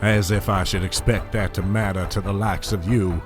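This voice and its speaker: A man's voice: low voice, fairly even intonation, moderate pace, music in the background, close to an everyday voice. A man playing a smug, scheming oil baron in an audio drama, silky, condescending and mock-courteous. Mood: condescending menace.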